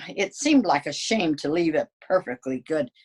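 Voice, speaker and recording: A woman's voice: low-pitched, animated, unhurried, a little mellow, husky, full character voice. A woman voicing a sly, folksy storybook wolf who protests his innocence in a conversational way. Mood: mock-innocent. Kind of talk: dramatic children's storybook reading.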